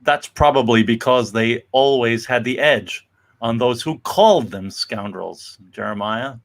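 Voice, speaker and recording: Casting: male reader as sincere middle-aged family man, 1950s comic drama, mid-pitched creaky voice, measured. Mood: grateful. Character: grateful, earnest middle-aged small-town father and shopkeeper.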